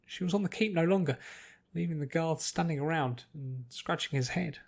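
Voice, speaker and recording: A man's voice: a little high, moderate pace, gravelly. A man reading scripted story narration as an audiobook narrator.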